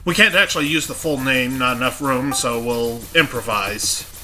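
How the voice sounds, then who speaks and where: A man's voice: mid-range voice, moderate pace, bright, forceful tone, soft background music. A congested man giving casual, joking commentary over a game playthrough. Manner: joking, offhand asides. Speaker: let's play host.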